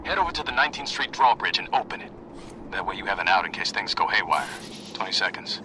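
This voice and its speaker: A man playing a stern, businesslike handler in a video game, briskly giving clipped orders. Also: on the low side, expressive, noisy background, close to an everyday voice. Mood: commanding.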